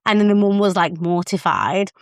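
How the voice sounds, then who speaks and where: Smooth-voiced woman chatting casually. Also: medium pitch, moderate pace, no room sound, clean studio sound. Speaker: podcast co-host. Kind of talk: casual conversation.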